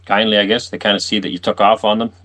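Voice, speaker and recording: A man's voice: lowish voice, level tone, fast, a bit bright. A man hosting a casual interview, talking in an emphatic, storytelling way.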